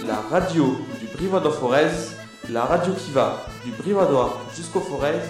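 A man chanting a radio station jingle at a fast clip. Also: on the high side, lively intonation. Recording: echoey jingle over accordion music.

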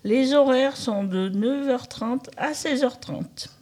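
A woman in her fifties reading a prepared text aloud in a halting, word-by-word way. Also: sits high, a little mellow. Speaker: radio show participant.

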